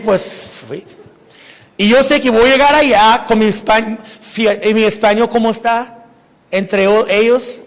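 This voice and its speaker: A man preaching a casual, halting sermon, often restarting words and dropping slang into his talk. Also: high-pitched, big-room sound. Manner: casual preaching mixing Spanish with English slang. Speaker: pastor. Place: church sanctuary.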